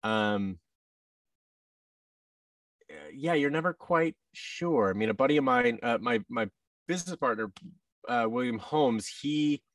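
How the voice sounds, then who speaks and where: An American man in his thirties chatting casually as an interview guest, in a halting, self-effacing manner. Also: mid-range voice, animated, fairly bright tone, no echo, distant mic. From United States. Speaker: guest, voice actor. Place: office room indoors, video call. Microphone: boom microphone and headset on a video call.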